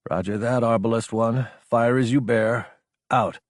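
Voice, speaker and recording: A man's voice: mid-range voice, steady tone, a little slow, no echo, studio quality, lightly acted. A man voicing a controlled male mech company commander giving clipped, authoritative orders in an audiobook. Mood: controlled, decisive. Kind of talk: audiobook character dialogue.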